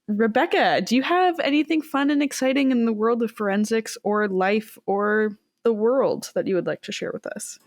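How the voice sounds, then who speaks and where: A woman's voice: on the high side, normal speed, hardly any pauses. A woman hosting a podcast in casual chat, reacting eagerly and handing off questions to her co-hosts. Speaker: podcast host.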